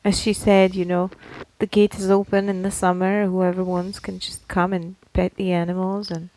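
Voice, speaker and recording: A woman's voice: lowish voice, little pitch movement, normal speed, a little mellow, slight background noise, rough recording. A woman co-hosting a casual podcast, chatting in an effusive, rambling storytelling way. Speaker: podcast co-host. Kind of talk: casual chat.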